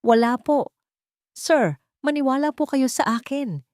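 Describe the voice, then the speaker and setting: A woman's voice: high-pitched, expressive, unhurried, a little mellow, no echo, pitched above the performer's own voice. Narrator as wronged waitress, female, deferential and pleading, romance audio drama, dry recording. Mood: frightened, pleading. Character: desperate, pleading young waitress, respectful and wronged.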